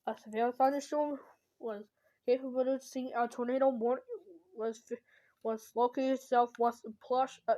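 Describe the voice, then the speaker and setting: Higher-pitched woman haltingly reading alerts. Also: mellow. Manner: stumbling, choppy, self-correcting delivery. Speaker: amateur emergency alert reader. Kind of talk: halting reading of a mock weather warning.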